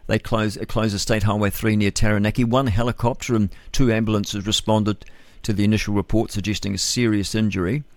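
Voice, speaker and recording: A man's voice: on the low side, level tone, a little fast, few pauses, dry recording. A man with a New Zealand accent hosting breakfast talk radio, rambling and opinionated as he comments on the news, with many fillers and self-corrections. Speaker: radio host.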